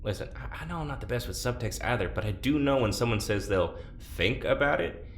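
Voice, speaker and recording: A man playing an awkward, gentle, self-deprecating test subject in an audio drama, speaking informally and hesitantly. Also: medium pitch, animated, brisk, close to an everyday voice. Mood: casual, concerned. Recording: roomy echo, clear ambient noise.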